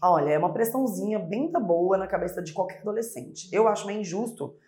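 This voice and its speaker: Middle-aged woman, mother in an interview, lively storytelling, echoey room. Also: medium pitch, fast talker. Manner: warm, animated storytelling with quoted voices. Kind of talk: conversational interview.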